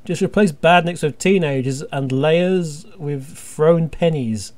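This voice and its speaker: Excitable, rambling man with a higher-pitched voice. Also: takes it slowly. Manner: excitable, jokey, meandering storytelling. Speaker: podcast host reading listener feedback. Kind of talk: casual rambling fan chat.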